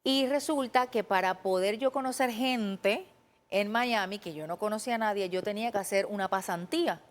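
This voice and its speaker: A woman's voice: medium pitch, normal speed. Earnest puerto rican woman telling her story. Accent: Puerto Rican Spanish. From Puerto Rico. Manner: earnest, animated, quotes her own past thoughts. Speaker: TV journalist, interview guest. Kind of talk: conversational interview storytelling. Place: TV studio.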